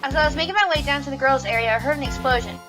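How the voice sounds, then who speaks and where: A woman's voice: high voice, very fast, music in the background, lightly acted. A woman playing a young, earnest rabbit police officer, formal and assertive, in a courtroom audio drama. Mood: earnest testimony. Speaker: voice actor in a fan audio drama.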